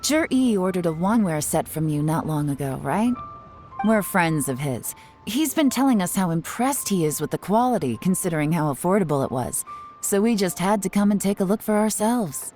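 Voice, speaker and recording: Woman acting a calm shrewd lady boss type for a game, lowish voice, in control, music underneath. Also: lightly acted. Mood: smooth, casual. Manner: calm, measured, authoritative. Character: cool, composed, shrewd commanding woman investigator.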